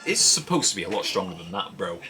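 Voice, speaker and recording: A man's voice: deep voice, big pitch swings, fast talker. A man hosting a reaction video, giving brief, offhand commentary in a casual style. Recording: cartoon soundtrack playing behind. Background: cartoon music.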